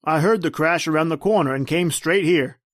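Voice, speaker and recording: A man's voice: a little high, fairly quick, fairly bright tone, no room sound, studio quality, lightly acted. A man playing a brusque, skeptical street cop with patronizing slang in an old-time radio drama. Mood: skeptical, dismissive.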